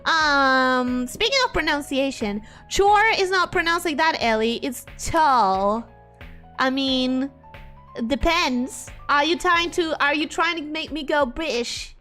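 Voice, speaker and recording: A young woman streamer talking from a home setup. Her voice is bright and crisp, and it swings all over the range, from squeaky highs down to grumbling lows. Soft music plays quietly under her talk. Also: slow pace. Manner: expressive, swings between high squeals and low mutters. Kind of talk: casual chat reading viewer messages.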